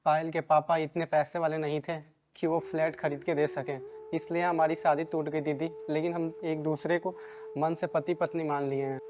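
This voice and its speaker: A man's voice: a little high, flat delivery, moderate pace, close to an everyday voice. A young man playing a devoted, anxious runaway groom in a Hindi moral drama. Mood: anxious devotion.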